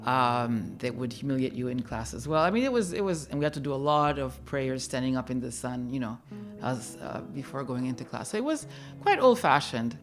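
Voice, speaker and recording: Woman in her 50s, pretty low voice, talking back over her childhood, thoughtful and frank, a bit of music faint behind. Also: sing-song, a little fast. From born in New York, raised in Haiti. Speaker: guest telling her migration story. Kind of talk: personal storytelling.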